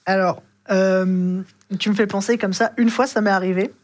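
A man's voice: high-pitched, steady tone, normal speed. A man, a rideshare driver, telling stories from his rides in a rambling, casual way, full of slang and fillers. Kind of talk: casual storytelling.

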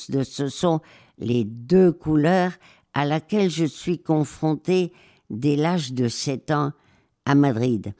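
An older woman, a war photographer, answering interview questions slowly, with many pauses and hesitations. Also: a bass voice, dark tone. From raised in Spain.

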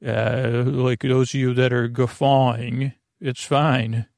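Man, creaky gravelly voice, mid pitch, close dry sound, meandering bedtime podcast host, halting, whimsical, reassuring. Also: level tone, darkish tone, no echo. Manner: meandering, halting, repeats and trails off mid-sentence. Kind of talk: rambling bedtime sleep podcast monologue.

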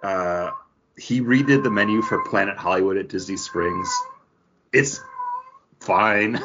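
A man's voice: on the low side, unhurried, noisy background. An American man chatting casually as a podcast co-host. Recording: dull, lo-fi audio.

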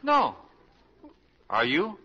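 Sharp, jealous lawyer, swinging pitch. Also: male voice, huge pitch swings, drawn-out words, husky, full character voice. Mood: jealous, dry. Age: 30s. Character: jealous, sardonic lawyer and old college chum. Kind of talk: 1940s radio comedy acting. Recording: lo-fi 1940s radio broadcast with music.

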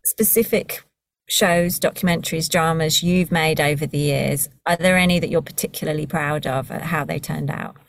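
A woman with a slightly low voice hosts a conversational interview. She asks in a relaxed way, in a dry, close recording. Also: normal speed, creaky, dry recording. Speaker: interviewer, podcast host.

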